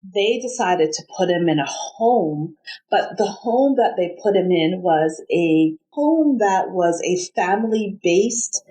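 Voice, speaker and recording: A woman's voice: fairly low pitch, lively intonation, slow pace, mellow, rough recording. A woman from New Jersey, an interview guest, earnestly recounting her family's caregiving step by step, often restarting her sentences. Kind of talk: conversational interview, personal storytelling.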